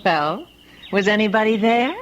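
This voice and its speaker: An actress playing a breezy, chatty, teasing young sweetheart in an old-time radio mystery. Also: female voice, mid-range voice, animated, moderate pace, slight background noise, lightly acted. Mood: teasing, brisk. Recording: dull old radio recording.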